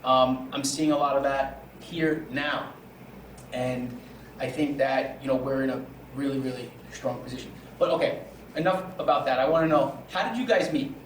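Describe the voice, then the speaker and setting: A man's voice: medium pitch, moderate pace. A middle-aged man from New York with an American accent, hosting a casual podcast interview. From New York, United States. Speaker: host and entrepreneur. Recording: echoey room, clear background noise, distant mic.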